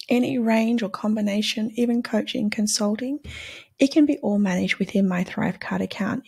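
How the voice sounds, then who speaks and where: A woman hosts her own channel and talks through a product review in a relaxed, chatty way. Her voice is croaky today, with a gravelly fry and a husky edge. She explains things step by step and earnestly, with the odd um and uh. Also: mid-range voice. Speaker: channel host. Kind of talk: casual product review to camera.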